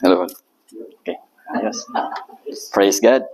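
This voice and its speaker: A man with a Filipino-British accent, a guest preacher who reads scripture aloud and speaks nervously, with many pauses and fillers. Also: low-pitched, noisy background. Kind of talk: sermon with scripture reading.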